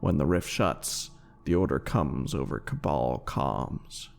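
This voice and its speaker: A man reading a scripted story in a theatrical, measured narrator's style. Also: a bass voice, very animated, creaky. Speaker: game lore reader and streamer.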